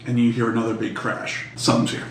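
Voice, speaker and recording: A man works as game master, narrating an improvised tabletop game in casual language. His pitch is medium. The recording is echoey and a little distant. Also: level tone, fast. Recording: echoey room, distant mic, faint noise.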